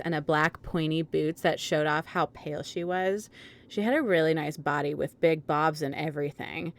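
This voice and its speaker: A woman hosting and narrating an amateur dramatic reading, with casual chat along the way. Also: low-pitched. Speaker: host and narrator.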